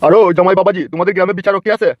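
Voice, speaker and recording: A man acts out an old village husband in a comic cartoon, heartbroken and full of complaint. He pleads and wails in a very rapid voice. The sound is dull and dry, with music underneath. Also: high-pitched, steady tone, dry recording, lightly acted. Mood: aggrieved. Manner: wailing, complaining, drawn-out pleading. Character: distraught old village husband abandoned by his wife.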